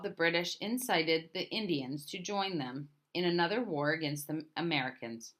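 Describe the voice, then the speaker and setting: A woman reading a picture book aloud as a storyteller, in a deliberate manner with emphatic openings to her phrases. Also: fairly low pitch, fairly bright tone. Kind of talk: scripted picture book narration.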